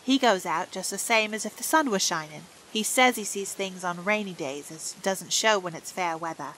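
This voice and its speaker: A woman's voice: medium pitch, normal speed, a bit bright, a little hiss or hum, lightly acted, poor audio. A woman doing a chatty housemaid. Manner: homely and talkative. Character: chatty, kindly young Yorkshire housemaid.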